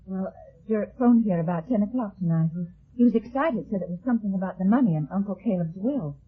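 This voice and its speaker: An anxious young heiress in a vintage radio mystery. Her voice is husky and melodramatic, catching and stammering as she talks. The old 1950s recording is thin and narrow. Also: mid-range voice, lively intonation, quick pace. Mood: earnest, uneasy. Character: anxious young heiress suspected of murder, pleading. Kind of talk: 1950s radio detective drama acting.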